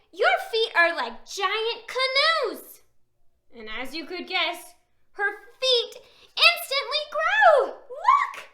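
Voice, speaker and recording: A woman's voice: very high-pitched, bright, forceful tone. A woman reading a picture book aloud to young children, with exaggerated wonder, sing-song stretched words and questions put to her listeners. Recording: roomy echo.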